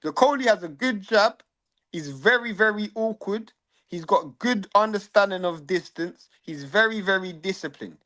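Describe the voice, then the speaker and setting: A man's voice: sits high, animated, normal speed, sharp tone. Argumentative young man. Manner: argumentative, reasoned, teasing. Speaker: boxing podcast co-host. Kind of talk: casual chat.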